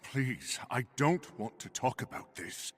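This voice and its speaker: An actor playing a brooding, protective thunder god in a video game drama, grave and earnest, reluctant to fight. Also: male voice, lively intonation, unhurried. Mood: pleading. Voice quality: deep, husky.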